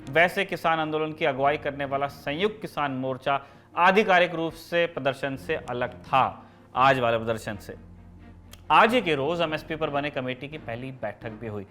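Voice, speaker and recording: A man's voice: a little high, moderate pace, pushed and bright, soft background music. A man presenting scripted news as the host of a show.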